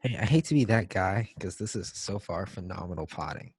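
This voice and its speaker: A man's voice: low-pitched, a little fast, darkish tone, husky, no room sound. A man co-hosting a podcast in a casual, comedic chat, talking in profane slang. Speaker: podcast co-host.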